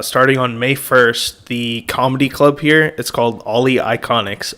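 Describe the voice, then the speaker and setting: A young man hosting a casual podcast chat, naturally loud and quick to laugh. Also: mid-range voice, clean tone. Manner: naturally loud, laughing, plugs his comedy set. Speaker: podcast host.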